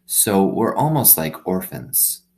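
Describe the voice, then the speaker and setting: A man's voice: sits low, sing-song, slow pace, creaky, darkish tone, heavily acted. A man reading a storybook, voicing a lonely, earnest young girl who confides plainly in her dog. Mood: wistful, confiding. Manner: plain-spoken, confiding, childlike phrasing. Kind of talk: storybook read-aloud.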